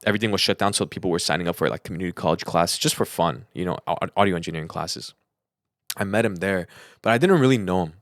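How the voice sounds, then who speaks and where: A man's voice: low-pitched, fast, no echo, studio quality. A man talking casually and at length as a podcast co-host, in a chatty, rambling storytelling way. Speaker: podcast co-host, audio engineer.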